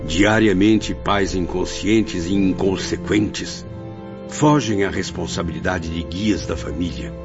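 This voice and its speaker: A man's voice: deep voice, takes it slowly. A man with a Brazilian accent narrating a radio drama in a solemn, scripted style. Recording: dull lo-fi with music behind.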